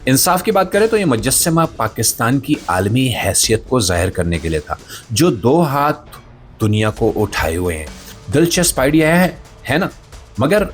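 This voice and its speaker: Low-voiced man, animated satirical monologue. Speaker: host of a satirical commentary show.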